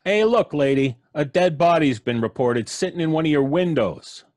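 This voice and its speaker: A man's voice: fairly high pitch, animated, normal speed, a little mellow, dry recording, studio quality, lightly acted. An actor playing a brusque, impatient tough city policeman in old-time radio suspense style, blunt, slangy and bossy.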